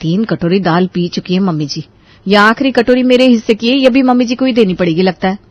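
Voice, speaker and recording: A woman's voice: mid-range voice, a little fast, dry recording, lightly acted. Woman playing an eager-to-please young daughter-in-law. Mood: eager, obliging.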